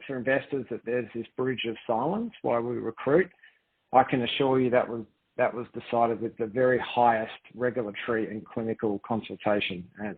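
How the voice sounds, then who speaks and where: A man's voice: medium pitch, slightly rough. A man speaking as a company executive on a conference call, presenting and answering in careful, formal corporate phrasing. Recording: dull, lo-fi conference call line.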